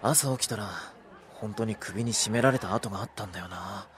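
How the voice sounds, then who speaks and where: An actor playing a blunt, easily flustered teenage schoolboy in a Japanese audio drama, colloquial and reactive. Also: male voice, on the low side, a little fast, background noise, close to an everyday voice. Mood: brooding, puzzled. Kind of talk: Japanese audio drama acting with inner monologue.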